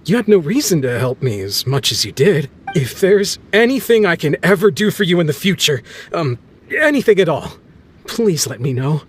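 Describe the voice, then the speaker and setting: An actor playing an earnest, humble young man in a video game cutscene, speaking sincerely and a little haltingly. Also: a little high, sing-song, slight background noise. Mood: grateful. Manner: sincere, slightly halting with fillers. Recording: faint game music behind.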